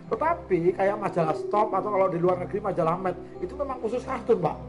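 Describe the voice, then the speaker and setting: A man speaking as a guest in a conversational discussion, explaining things with frequent hesitant pauses. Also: high voice, music in the background. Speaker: guest speaker on cartoon art.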